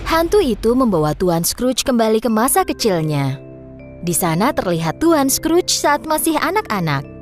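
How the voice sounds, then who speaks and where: Lively woman narrating a children's story. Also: a little high, moderate pace, soft background music.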